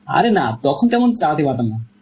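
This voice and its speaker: A man's voice: a little high, sing-song, normal speed, a little mellow, husky, exaggerated voice. A man acting practical, matter-of-fact male characters in an audio story. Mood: casual. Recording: faint background noise.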